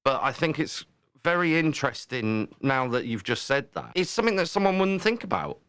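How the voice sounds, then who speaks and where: A man's voice: on the high side, sing-song, a little fast, a bit bright, clean tone, dry recording. A man hosting a casual podcast interview, talking in a chatty, thoughtful, rambling way. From southern England. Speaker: podcast host.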